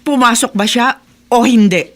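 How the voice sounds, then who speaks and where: A woman's voice: fairly high pitch, moderate pace, lightly acted. An actress with a Filipino accent playing a stern, suspicious middle-aged mother in a radio drama. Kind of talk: Tagalog radio drama acting.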